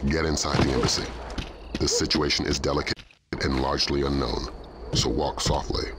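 A man playing a middle-aged intelligence commander in a video game, giving briefings in a measured, authoritative way. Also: very deep voice, fairly even intonation, very noisy. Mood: calm, instructive. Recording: loud music behind the voice.

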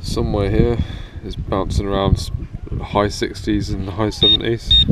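A man with a fairly low voice talks in an upbeat, chatty way as he digs in the sand, narrating each find out loud. Heavy wind buffets the small camera mic, so a loud rushing noise sits over his voice the whole time. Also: flat delivery. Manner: chatty, upbeat, talks to himself while searching. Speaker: metal detecting vlogger. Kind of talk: casual commentary while digging. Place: windy sandy beach outdoors. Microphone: head-mounted action camera.

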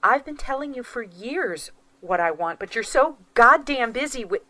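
A woman's voice: on the high side, lively intonation, moderate pace, bright, forceful tone, no room sound. An actress playing a tired, guarded wife who is weighing a divorce from her husband in an audio drama. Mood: weary. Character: Maria, estranged wife weighing divorce, weary.

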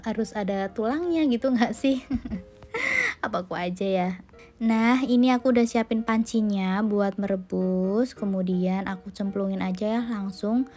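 A friendly, chatty woman in her thirties talks viewers through her cooking with a mellow, warm tone. Her voice is a little hoarse and stuffed up from a sore throat. Faint music plays underneath. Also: medium pitch. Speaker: homemaker vlogger. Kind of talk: casual cooking vlog voice-over. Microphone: phone recording.

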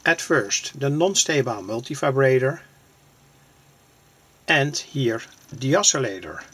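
Slow-talking man with a husky, creaky voice. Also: mid-range voice, a bit bright. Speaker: electronics hobbyist presenter. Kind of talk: hands-on circuit demonstration, explanatory. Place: small cluttered indoor electronics workbench.

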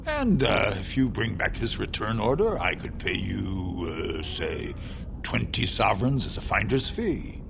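An actor voicing a sly middle-aged male dwarf smuggler in a video game, making a wheedling sales pitch. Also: sing-song, measured pace, creaky, background noise, full character voice. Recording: game audio with music behind.

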